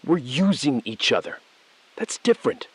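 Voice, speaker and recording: An actor playing a coolly complicit male bank insider in a scripted audio thriller, measured, evasive and precise. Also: mid-range voice, very animated, fairly bright tone, slightly rough, rough recording. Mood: calm, calculating.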